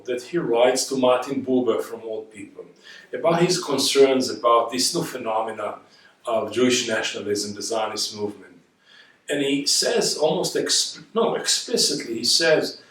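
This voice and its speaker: The sound is strongly reverberant and a little distant from the microphone. A man with an Israeli accent speaks at a middling pitch in the manner of a reflective lecturer. He stresses his points, asks rhetorical questions and builds by repetition. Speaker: guest speaker. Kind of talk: reflective spoken lecture on history. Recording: echoey room, distant microphone.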